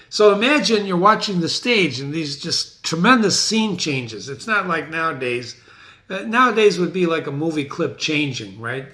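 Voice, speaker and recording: A man's voice: fairly high pitch, bright, forceful tone. A man guiding a dramatic reading with chatty explanations and casual asides, checking in with the listener. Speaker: reader and guide to the play. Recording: echoey room.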